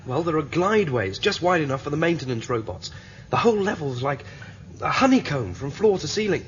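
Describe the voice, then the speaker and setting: A man playing a calm, practical guide in a radio drama, reassuring a companion. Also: on the high side, fairly quick. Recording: dull lo-fi audio with faint noise.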